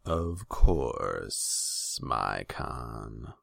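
A man voicing a sly serpent-folk advisor to a khan in a fantasy story, hissing, measured and deferential. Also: rumbling low, big pitch swings, vocal fry, fairly bright tone, voice pushed down, exaggerated voice. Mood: smooth, deferential.

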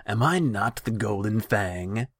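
A man's voice: sits low, big pitch swings, takes it slowly, dry recording, studio quality, pitched above the performer's own voice, heavily acted. A man voicing a haughty, indulgent, paranoid warlord in a fantasy story, with an imperious, petulant, purring manner. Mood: impatient.

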